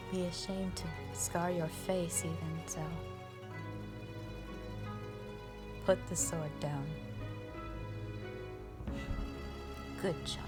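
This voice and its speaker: Ancient female dragon guardian for audio drama, played by a woman: low-pitched, unhurried, proud, stern yet composed, formal diction. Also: steady tone, loud music behind the voice. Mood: stern, amused. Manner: formal, measured, stately. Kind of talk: scripted roleplay audio drama monologue.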